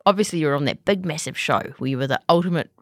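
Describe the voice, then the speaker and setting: A woman hosting a casual chat, playful and quick to laugh. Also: deep voice, no echo, clean studio sound. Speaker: host.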